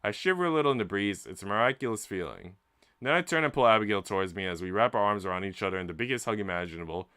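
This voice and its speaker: A man's voice: lowish voice, lively intonation, racing, bright, forceful tone, smooth, dry recording, studio quality. A man streaming, reading a visual novel aloud and chatting casually with his audience. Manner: informal, slangy when chatting.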